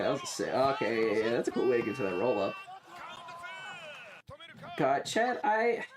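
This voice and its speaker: A man gives loose, casual commentary on a live stream. His voice sits at a medium pitch, and he talks in slang with plenty of swearing and an excitable manner. Noise is clearly audible in the background.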